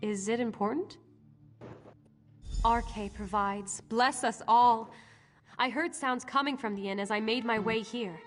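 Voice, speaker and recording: A woman playing a brisk, no-nonsense battlefield healer in a fantasy video game, speaking in a dry, matter-of-fact way. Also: a little high, soft background music, lightly acted. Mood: hopeful, sober. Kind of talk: scripted video game dialogue.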